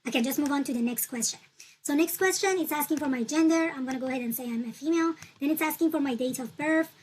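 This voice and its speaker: A woman whose first language is Turkish, calmly walking through an online form step by step, repeating her points with fillers. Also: sits high, fast talker, slight background noise, rough recording. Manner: patient, repetitive instructional talk with fillers. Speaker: tutorial presenter. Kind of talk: screen-recorded walkthrough.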